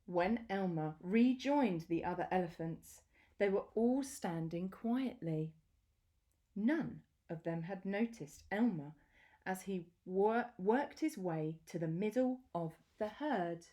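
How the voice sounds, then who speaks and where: Woman, children's read-aloud, very lively intonation, soft mellow tone, deliberate storytelling pauses. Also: medium pitch. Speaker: teacher reading a picture book aloud.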